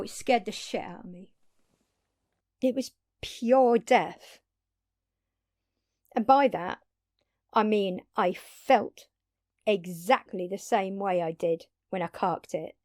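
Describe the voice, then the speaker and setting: A woman playing an earnest, blunt, long-lived vampire in an intimate audio drama, speaking haltingly and pleadingly with dramatic pauses. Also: mid-range voice, a little mellow, no room sound, clean studio sound, lightly acted. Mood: grave, intense. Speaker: voice actor.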